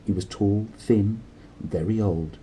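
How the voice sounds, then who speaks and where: A man narrating a children's storybook aloud in an expressive style. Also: fairly low pitch, takes it slowly, a little hiss or hum, low-quality recording. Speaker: storybook reader.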